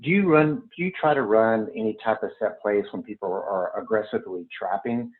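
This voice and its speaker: Guy calling in with coaching questions, kinda hesitant, lots of restarts, tinny phone sound. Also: lowish voice. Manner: hesitant, restarts often, quick acknowledgments. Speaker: caller, youth basketball coach. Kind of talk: asking questions on a call. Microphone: remote call.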